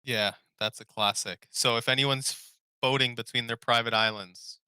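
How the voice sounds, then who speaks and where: A young man talks casually as a podcast co-host, with a very bright, punchy edge and a clean, smooth tone. His delivery is informal and loose, drifting as he hunts for words. The sound is dry, with hardly any room on it. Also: mid-range voice, steady tone, normal speed, dry recording. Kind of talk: casual chat about favorite movies.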